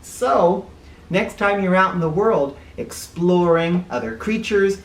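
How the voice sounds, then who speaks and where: A man's voice: high voice, slow. A man reading a children's book aloud in a playful, explanatory way, stopping to put questions to young listeners. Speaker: children's book reader and teacher. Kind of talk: animated read-aloud with asides for children. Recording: roomy, distant mic, faint noise.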